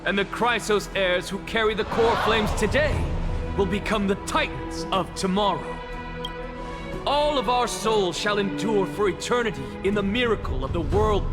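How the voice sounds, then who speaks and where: An actor playing an arrogant male scholar in a game cutscene, declaiming grandly and defiantly. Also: high voice. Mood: proclaiming. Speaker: game character. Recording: game cutscene with loud music and long reverb.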